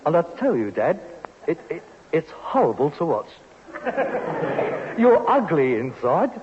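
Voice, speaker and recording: An actor playing a pompous, self-pitying grown son with a London accent and mock-grand airs, declaiming melodramatically in an old British radio sitcom. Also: male voice, a little high, big pitch swings, slow pace. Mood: wounded, indignant. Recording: muffled old radio recording, hiss, long reverb.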